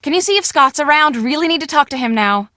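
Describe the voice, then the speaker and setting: An earnest woman who runs a storm-chasing crew in a video game. Her voice is fairly high and very bright, with a brisk, businesslike delivery that takes charge. The sound is close and dry. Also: animated, no room sound. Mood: worried. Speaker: storm-chasing team project manager.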